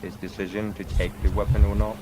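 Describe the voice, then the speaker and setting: A man's voice: lowish voice, flat delivery, fast, background noise. A man questioning a witness as a lawyer in a roleplay court, asking formal questions with many hesitant fillers.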